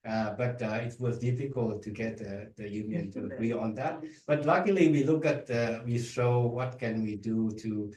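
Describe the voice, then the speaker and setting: Echoey distant recording, male academic speaker, Vietnamese accent, halting phrasing, conference Q&A. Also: on the low side, a little mellow. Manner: hesitant, halting delivery with repeated words. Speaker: academic researcher, guest speaker. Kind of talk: conference talk and audience Q&A. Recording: echoey room, distant microphone.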